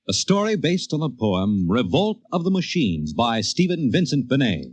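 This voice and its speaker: A man announcing a 1950s radio show in a grand, portentous, measured dramatic style. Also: medium pitch, very animated. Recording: muffled vintage radio with loud music.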